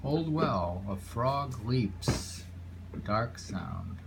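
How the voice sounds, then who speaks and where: A man reading haiku aloud in a deliberate, musing way, pausing between poems and adding casual asides. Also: medium pitch, lively intonation, creaky. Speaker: poetry reader. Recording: very audible background noise, homemade recording.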